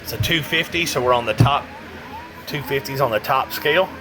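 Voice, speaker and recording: A man's voice: mid-range voice, brisk, over music. A man giving a casual, rambling hands-on product demo, muttering to himself as he goes. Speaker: radio seller demonstrating a CB radio.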